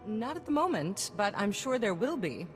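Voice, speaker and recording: An actress playing a stern, driven governor in a video game, speaking in firm, commanding speeches. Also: female voice, mid-range voice, big pitch swings, moderate pace, lightly acted. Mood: satisfied. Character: stern, driven woman governor of a reconstruction project. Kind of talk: scripted video game acting. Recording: long reverb, music underneath.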